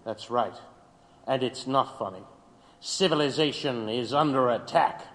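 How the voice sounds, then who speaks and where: An actor playing a grim, zealous government interrogator in an audio drama, accusing and humourless. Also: male voice, medium pitch, a little slow, fairly bright tone. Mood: grim, threatening. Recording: long hall-like reverb.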